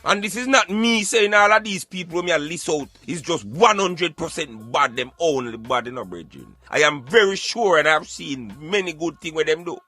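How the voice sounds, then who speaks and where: A man's voice: on the high side, animated, moderate pace, few pauses, bright, forceful tone, no echo, soft background music. A man with a Jamaican accent hosting a radio show, giving emphatic, colloquial satirical commentary in a conversational way. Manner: emphatic, colloquial, addressing listener as brethren.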